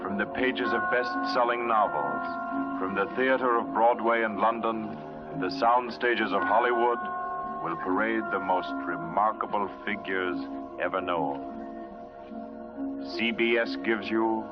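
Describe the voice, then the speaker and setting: Grand low-voiced 1940s radio thriller announcer played by a man. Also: unhurried, natural voice. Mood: grand, ominous. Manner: grand, portentous. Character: solemn male announcer of a radio thriller series. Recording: muffled vintage radio broadcast recording. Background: loud dramatic music.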